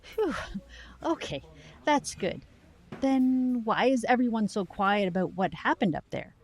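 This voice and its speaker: Woman acting a husky-voiced, concerned girlfriend. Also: fairly high pitch, expressive, unhurried, a little mellow. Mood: worried, probing. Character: concerned, questioning girlfriend in supernatural mystery. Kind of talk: audio drama acting.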